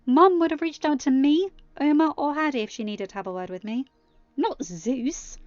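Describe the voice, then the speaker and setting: A woman's voice: sits high, moderate pace, a little mellow, dry recording, muffled recording, pitched above the performer's own voice, close to an everyday voice. A woman voicing an angry, sarcastic, defiant young woman with wounded pride, speaking firmly and scornfully in an audiobook-style story reading. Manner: firm, scornful, emphatic.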